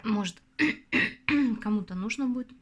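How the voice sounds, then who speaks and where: A young adult woman hosting her own channel as a tarot reader, chatting casually to her viewers, her voice going hoarse. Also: a little high, slow pace, a bit bright. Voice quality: husky. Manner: chatty, confiding, addresses viewers as girls. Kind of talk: casual advice talk to viewers.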